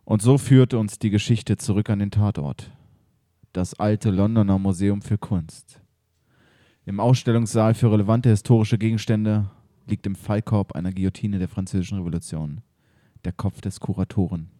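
A man's voice: fairly low pitch, fairly even intonation, normal speed, mellow, no echo. Man narrating in a dry, close sound. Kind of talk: storytelling narration for an improvised audio drama.

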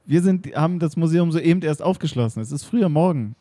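Ein Mann spielt einen jungen, drahtigen Museumskurator, eifrig und neckend, in einem improvisierten Hörspiel. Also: male voice, a little high, expressive, quick pace, warm tone, pitched above the performer's own voice, lightly acted. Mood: teasing. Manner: eager, teasing, giggly. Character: young wiry male museum curator.

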